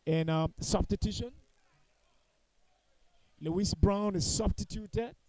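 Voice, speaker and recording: A man's voice: high voice, warm tone. A man giving live football commentary in a critical, opinionated way, repeating points for emphasis.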